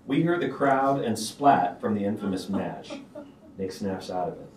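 A man's voice: lowish voice, lively intonation, moderate pace, a little mellow. A man reading screenplay stage directions aloud as narrator at a table read. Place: stage of a small auditorium. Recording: roomy auditorium, distant mic, background noise.

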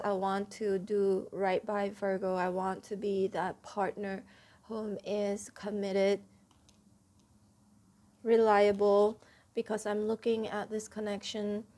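A woman giving a casual tarot reading at a table, talking straight to her viewers, a little stuffy and clearing her throat, often checking in with "okay?". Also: medium pitch, darkish tone. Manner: chatty, repeats "okay?" to viewers, stresses key words. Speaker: tarot reader. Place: indoors at a table, home room.

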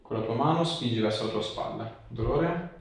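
A man's voice: medium pitch, moderate pace. A man in his thirties working as a manual therapist, guiding and questioning a patient in short phrases. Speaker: osteopath or chiropractor. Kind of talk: hands-on clinical consultation with a patient. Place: small treatment room, indoors. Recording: echoey room, distant mic, background noise.

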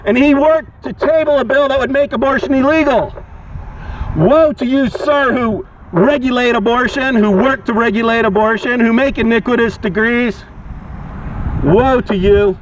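A man's voice: very high-pitched, moderate pace. A man street preaching outdoors, declaiming accusingly in short, projected phrases through a megaphone. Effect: amplified through a megaphone. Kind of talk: open-air protest preaching. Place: outdoors by a walkway and car park. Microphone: action camera beside the megaphone. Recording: dull megaphone sound, outdoor noise, cars.

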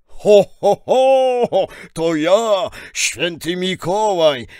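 Jolly old Santa Claus, drawn-out booming delivery, raised lively pitch, dry sound, storybook. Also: male voice, no room sound, full character voice.

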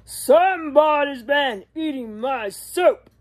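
Woman doing a booming suspicious Papa Bear. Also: animated. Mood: indignant. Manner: loud, drawn-out. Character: big booming father bear, male, suspicious.

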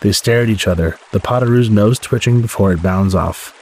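A man reading a children's storybook aloud as the host, in expressive narration with a slightly husky voice. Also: fairly low pitch, fairly quick, low-quality recording. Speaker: story podcast host and reader.

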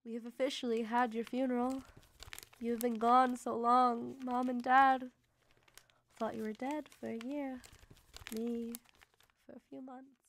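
Radio drama: devoted young Victorian mother, slightly high voice, emotive and intimate letter reading. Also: level tone, measured pace, long pauses, a little hiss or hum. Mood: sad, resigned.

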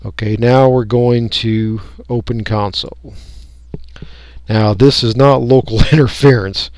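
A man talking through a software tutorial step by step in an unscripted, folksy way. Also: mid-range voice, fairly even intonation, measured pace, dry recording. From United States. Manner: unscripted, folksy, explaining each click. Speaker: radio hobbyist giving a tutorial. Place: indoors, voice-over for a screen recording.

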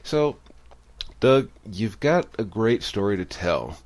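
A man's voice: fairly low pitch, very animated, slow, darkish tone. A man hosting a radio interview show, introducing his guest in an upbeat way.

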